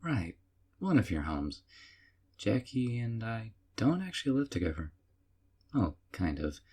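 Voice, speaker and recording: A man playing a doting, obsessive young man who keeps the listener, speaking in a calm, hushed, sweetly possessive way in an audio roleplay. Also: lowish voice, measured pace, breathy edge, exaggerated voice.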